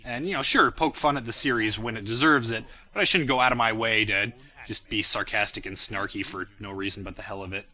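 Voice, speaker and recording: A young man with a strikingly bright, sharp voice gives an informal commentary on his own work. He rambles reflectively and pokes fun at himself. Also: lowish voice, brisk. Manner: self-deprecating, rambling, reflective. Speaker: creator of a fan parody series, commentator.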